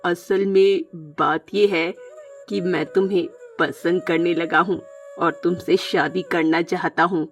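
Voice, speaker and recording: A woman's voice: on the low side, steady tone, measured pace, faint music behind, pitched below the performer's own voice. Woman voicing an earnest lovestruck male parrot. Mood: earnest, wooing. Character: lovestruck bachelor male parrot, gentle employer. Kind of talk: Hindi children's cartoon story dialogue.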